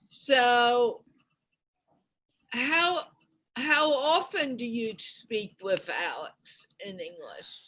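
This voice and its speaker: A woman hosting a show, asking encouraging questions in a deliberate way on a video call. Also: fairly high pitch, animated, fairly bright tone, rough recording. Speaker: host.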